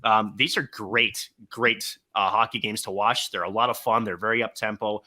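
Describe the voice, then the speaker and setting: A man's voice: lowish voice, fairly even intonation, normal speed, sharp tone. A man co-hosting a podcast, talking hockey at length in casual chat with many fillers. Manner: long monologues, filler-heavy. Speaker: podcast co-host, hockey broadcaster.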